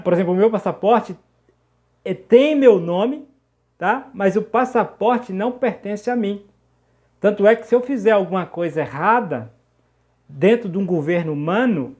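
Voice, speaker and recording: A Brazilian man with a notably high-pitched voice preaches informally. He explains things in a didactic way, restating key phrases and often stopping to check that the listener follows. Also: darkish tone. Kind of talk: informal sermon, teaching talk.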